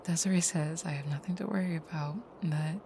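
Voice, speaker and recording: A woman playing a tender, soft-spoken vampire girlfriend in an intimate audio roleplay, with a breathy near-whisper and gentle, slightly formal phrasing. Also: deep voice, fairly even intonation, brisk, slight background noise. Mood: anxious, self-doubting.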